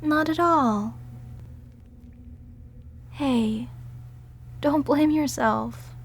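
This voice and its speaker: A woman voice actor performing a sweet, gentle character in an acted audio roleplay, talking in a bubbly, soothing way. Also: high-pitched, extremely slow, slight background noise.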